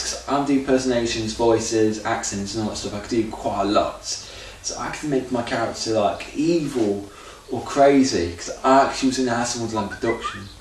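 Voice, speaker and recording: Guy rambling through an unscripted audition tape, mid-pitch voice, faint hiss, lots of room echo. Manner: rambling, improvised, restarts and loose grammar. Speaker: auditioning actor. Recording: very echoey room, far from mic, faint noise.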